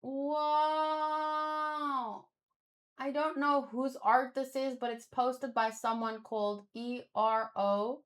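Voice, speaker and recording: Slow-paced, high-voiced woman chatting casually. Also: fairly even intonation, clean tone. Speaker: manga read-along streamer. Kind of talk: casual reaction chat, thinking aloud.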